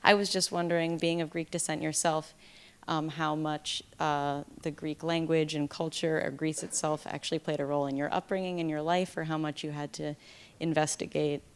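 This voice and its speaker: A woman's voice: deep voice, flat delivery, moderate pace, clear, resonant tone. A woman in the audience at a live event, asking a spontaneous question in a tentative, hesitant way. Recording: faint background noise, event ambience.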